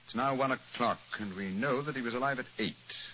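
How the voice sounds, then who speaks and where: An actor playing a keen, commanding British detective in 1940s radio style, speaking in a crisp, deliberate, authoritative manner. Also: male voice, medium pitch, sharp tone, lightly acted. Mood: analytical, brisk. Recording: dull, noisy old radio recording.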